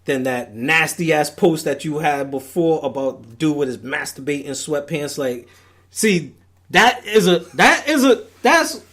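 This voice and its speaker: A man trading jokes on a podcast, his voice sitting a little high for a man. He talks in an animated, loose way and laughs often. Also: a little slow. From Brooklyn, New York. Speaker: podcast co-host. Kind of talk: casual podcast banter.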